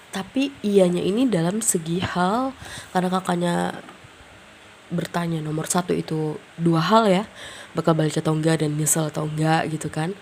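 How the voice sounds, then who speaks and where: A woman reads tarot cards in a casual, unhurried way, her voice low and gravelly with a fried edge. A cold has left her stuffy and nasal. She muses aloud with plenty of hesitant fillers, and a faint hiss sits under the recording. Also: darkish tone. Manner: musing, thinking aloud with frequent fillers; mixes in English words. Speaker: tarot reader.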